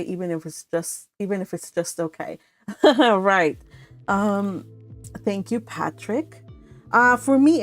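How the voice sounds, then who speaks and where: A woman hosting a read-along stream, chatting casually and rambling with frequent laughter. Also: medium pitch. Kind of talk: casual chat with participants.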